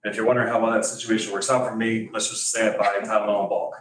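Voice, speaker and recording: Firm resident speaking low in echoey chamber. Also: male voice, level tone, very fast. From Greece. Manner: wry, firm, reads from notes. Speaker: resident giving public comment. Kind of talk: prepared public comment. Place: council chamber. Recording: echoey chamber, distant mic.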